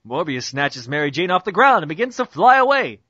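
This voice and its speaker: Casting: male amateur narrator, high lively voice, mock-dramatic comic reading, muffled internet call sound. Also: fairly quick, slightly rough, no room sound. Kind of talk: comic dramatic script reading among friends. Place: Skype group call.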